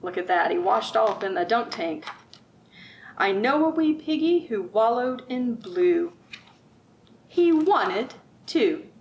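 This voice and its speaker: A middle-aged woman reading aloud at a children's storytime, expressive and sing-song, drawing out rhymes and putting questions to young listeners. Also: medium pitch, takes it slowly, a little hiss or hum. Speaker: children's librarian, storytime host. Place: library room with bookshelves, indoors.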